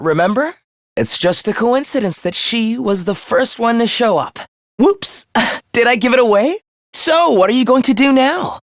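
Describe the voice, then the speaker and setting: A sadistic young male game villain with a high voice that swings dramatically up and down. He taunts with mock politeness and obsessive, unhinged glee. The sound is close and dry. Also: fairly bright tone, dry recording. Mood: gloating, obsessive. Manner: taunting, theatrical, mock-cheerful. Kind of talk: theatrical video game voice acting.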